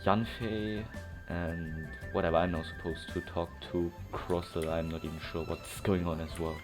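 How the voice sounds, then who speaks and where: Deep-voiced man rambling through a game. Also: flat delivery, moderate pace. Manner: rambling, informal, hesitant. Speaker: gaming streamer. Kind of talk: casual game playthrough commentary. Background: game music.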